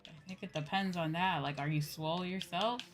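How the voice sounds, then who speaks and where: Low-pitched woman chatting casually over game music. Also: a little fast. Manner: informal, rambling, slangy, mildly profane. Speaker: livestreamer, VTuber host. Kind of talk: casual chat while playing a game, answering viewers. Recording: music playing behind the voice.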